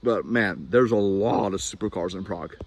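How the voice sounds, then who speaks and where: A young man from Norway with a fairly low voice talks straight to camera in an easygoing vlog. He rambles and restarts often, leaning on fillers as he goes, over a faint hiss in the background. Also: moderate pace. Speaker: vlogger. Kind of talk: casual talking-to-camera vlog.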